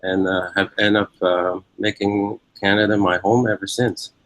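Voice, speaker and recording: A man's voice: on the low side, flat delivery, normal speed, fairly bright tone, dry recording, poor audio. A man in his fifties with a Hong Kong accent, giving reflective, explanatory interview answers and thinking aloud as he goes. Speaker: academic interviewee.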